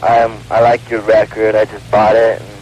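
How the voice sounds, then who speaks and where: A man's voice: lowish voice, completely flat delivery, normal speed, darkish tone. A man with an American accent calling a radio show, chatting casually and hesitantly over the phone. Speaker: phone-in caller. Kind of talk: casual, hesitant fan chat. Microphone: telephone. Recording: phone call on noisy radio broadcast.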